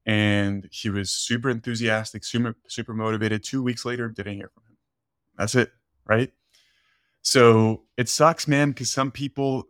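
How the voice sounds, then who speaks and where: A man's voice: fairly low pitch, fairly bright tone, no room sound, clean studio sound. A man whose first language is Spanish, a coach hosting a casual podcast, talking earnestly with lots of "like" and "right?". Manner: earnest, rambling, leans on "like" and "right?". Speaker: fitness coach and podcast host.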